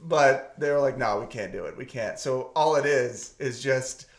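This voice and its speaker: A man of about forty in casual comic banter, telling anecdotes in an animated way and acting out the dialogue. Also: medium pitch, normal speed. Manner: animated, acts out quoted dialogue. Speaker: comedy podcast host.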